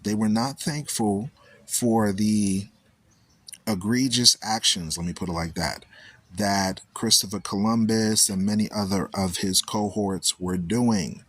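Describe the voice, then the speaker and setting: Husky-voiced man preaching casually. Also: on the low side, measured pace, no room sound. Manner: animated storytelling, repeats "right?" often. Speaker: radio show host.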